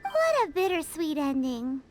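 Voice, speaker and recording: A woman voicing a small, childlike fairy companion in a video game, perky, cheeky and squeaky. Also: very animated, unhurried. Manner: bubbly, exclamatory. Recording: loud music behind the voice.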